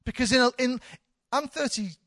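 A woman's voice: high-pitched, fast, a bit bright, no room sound, studio quality. A woman in her thirties, a church leader, giving an informal, candid sermon with self-deprecating humour. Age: 30s.

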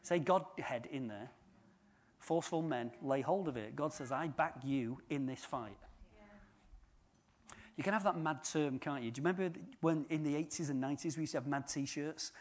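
A man's voice: on the high side, normal speed. A man giving an informal sermon, chatty and joking with the congregation. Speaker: preacher.

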